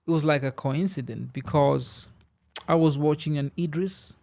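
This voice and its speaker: A man with a gravelly, rough-edged voice sits in as a podcast guest in a studio. His pitch is a bit high for a man, and he rambles in loose, casual chat full of "you know" and "I mean.". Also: normal speed, a little mellow. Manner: rambling, filler-heavy, many "you know"s.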